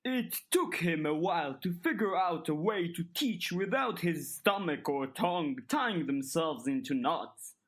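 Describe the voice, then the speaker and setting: A woman voicing a smooth, calculating older male headmaster in an audiobook, speaking in measured, formal, articulate sentences with polite manipulation. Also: on the low side, expressive. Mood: calm, explaining. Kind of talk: dramatized audiobook dialogue.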